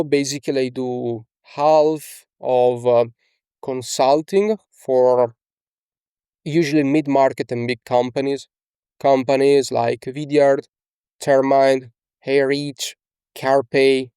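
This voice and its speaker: A man's voice: medium pitch, vocal fry, a little mellow, clear, resonant tone, dry recording, studio quality. A young man from Italy with an Italian accent, talking casually as a coach in a screen-share tutorial, often tagging on "okay?" and fillers. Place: small indoor room.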